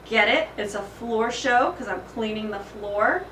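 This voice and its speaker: Woman putting on a booming, jovial janitor man. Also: a little slow, bright, forceful tone. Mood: showing off. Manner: hearty, joking, exuberant. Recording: roomy echo, distant mic, faint noise.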